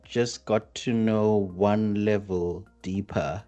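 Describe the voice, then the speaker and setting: A man hosting a studio discussion, asking measured questions and affirming his guests. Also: lowish voice, fairly even intonation, mellow, dull audio, soft background music. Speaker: host.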